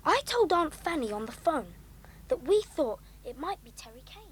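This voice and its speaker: A woman's voice: high voice, big pitch swings, moderate pace, a little hiss or hum. A woman playing an eager, well-mannered child adventurer in an audio drama. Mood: earnest.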